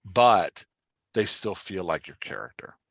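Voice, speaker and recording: A man's voice: low voice, sing-song, a little slow, gravelly, a bit bright, slightly rough, dry recording, clean studio sound. A man coaching someone in a casual one-on-one talk, instructive and prodding with questions. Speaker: podcast host and dialogue writing coach.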